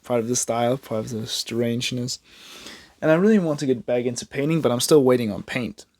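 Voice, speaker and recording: Chatty young Danish man, gravelly voice. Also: medium pitch, a little fast, darkish tone, dry recording, studio quality. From Denmark. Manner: rambling, chatty, self-mocking, many fillers and restarts. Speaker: art vlogger. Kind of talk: casual chat while drawing.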